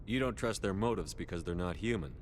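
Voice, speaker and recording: A deep-voiced space commander from a video game. He is firm, steady and clipped, used to giving orders, with faint rumble behind. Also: a little fast. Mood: firm, probing. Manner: authoritative, clipped. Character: male human military starship commander, firm and steady.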